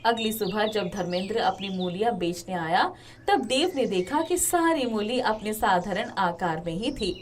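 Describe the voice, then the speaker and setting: A woman's voice: medium pitch, animated, normal speed, slight background noise. A woman narrating a scripted moral story as a storyteller.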